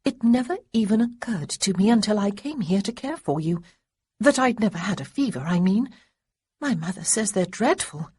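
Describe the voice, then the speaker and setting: A woman voicing Honoria, a nervous, tender young gentlewoman from a storybook romance. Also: mid-range voice, lively intonation, husky, pitched above the performer's own voice. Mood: anxious. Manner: fluttery, quick, softly anxious.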